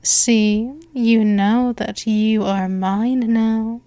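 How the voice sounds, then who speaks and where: A woman playing a possessive female vampire mistress, speaking softly and hypnotically with drifting whispers, close to the mic. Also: a little high, fairly even intonation, extremely slow, smooth, dry recording. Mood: seductive, possessive. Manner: coaxing, repetitive, counts down. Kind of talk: ASMR hypnosis roleplay.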